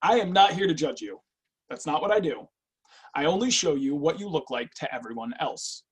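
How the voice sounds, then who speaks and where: Drama reading: man plays calm male assessor, probing and businesslike, a touch high and rough-edged. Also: moderate pace. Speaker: actor in a screenwriters' readers theatre. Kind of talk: staged script reading.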